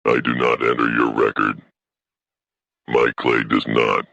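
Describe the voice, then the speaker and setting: Gravelly, abyss-deep stern alien. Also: male voice, flat delivery, measured pace, sharp tone, dry recording, highs cut off, full character voice. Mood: solemn defiance. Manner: solemn, unbending, declarative. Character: stern, proud alien elder of a wronged clade. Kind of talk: sci-fi audio story dialogue.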